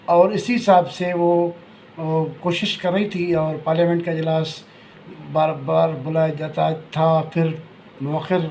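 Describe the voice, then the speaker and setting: A man with a Pakistani accent giving informal political analysis to viewers as a commentator, in a halting delivery that often repeats phrases. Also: high-pitched, a little mellow, a little hiss or hum, poor audio.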